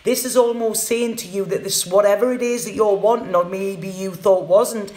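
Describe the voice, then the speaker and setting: A woman's voice: mid-range voice, fairly even intonation, moderate pace, dark tone. Woman tarot reader, chatty, repeats herself, roomy echoey room. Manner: chatty, tags sentences with "okay?", repeats words. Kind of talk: live tarot reading, casual chat to viewers.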